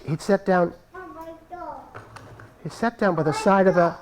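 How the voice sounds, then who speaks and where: A man's voice: high-pitched, unhurried, noisy background. A man telling a folk tale aloud in a live puppet show, playful and comic, with lots of repetition. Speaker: storyteller and puppeteer.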